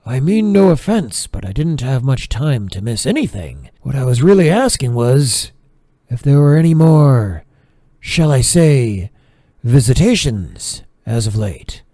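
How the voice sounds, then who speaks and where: A man's voice: medium pitch, sing-song, measured pace, mellow, no room sound, clean studio sound. A man acting a grave, fearful monk in an audio drama, speaking in solemn, formal, dramatic phrases. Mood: measured, probing. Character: grave, fearful monk home from missionary work overseas.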